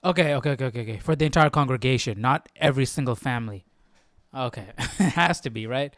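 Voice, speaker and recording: A man hosting an informal study livestream, thinking aloud in a halting, musing way and often checking himself with questions. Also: medium pitch, fairly bright tone, no echo, studio quality. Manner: halting, musing, often tags remarks with "right?". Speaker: host of a Bible study livestream.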